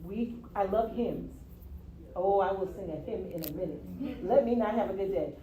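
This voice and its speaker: A woman from the US Virgin Islands, a guest telling her story in a casual interview, expressive and quick to laugh. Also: medium pitch. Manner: expressive, laughs easily, repeats "yeah". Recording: roomy, from a distance, faint noise.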